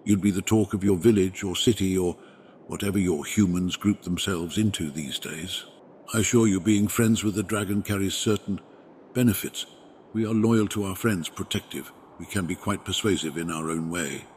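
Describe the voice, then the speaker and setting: A man voicing a wise, protective dragon in an ASMR roleplay, speaking in a formal, measured and reassuring way. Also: sits low, darkish tone, slight background noise, natural voice. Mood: wryly proud. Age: adult parent with younglings. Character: wise, gentle, protective male dragon mentor. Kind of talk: ASMR roleplay, scripted comforting monologue.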